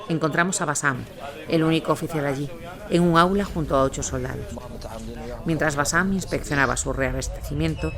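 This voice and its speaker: A man reading a scripted first-person war account as its narrator. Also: medium pitch. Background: music.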